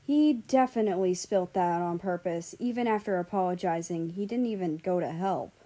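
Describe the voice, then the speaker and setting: A woman's voice: on the low side, normal speed, warm tone, lightly acted. A woman voicing gossipy, judgemental internet commenters in a story, in an exclamatory manner. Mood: indignant. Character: snide, gossipy online commenters.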